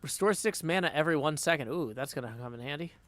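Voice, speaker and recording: Man, game streamer, casual chat, muttering, reading text aloud, animated pitch, dry recording. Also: quick pace, no room sound.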